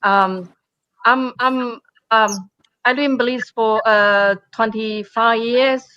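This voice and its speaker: A woman from Taiwan, a painter speaking on a panel in halting English with many fillers and restarts. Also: on the high side, fairly even intonation, pushed and bright, highs cut off, a little hiss or hum. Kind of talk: panel discussion.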